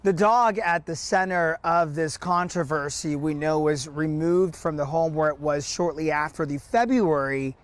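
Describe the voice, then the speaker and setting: A man's voice: fairly high pitch, moderate pace, few pauses. A man in his thirties reporting live for local television news. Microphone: lapel mic.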